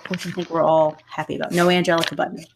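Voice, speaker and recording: A woman hosting a casual podcast, relaxed and a little hesitant, chuckling now and then. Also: sits low, racing, vocal fry, background noise. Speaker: podcast host.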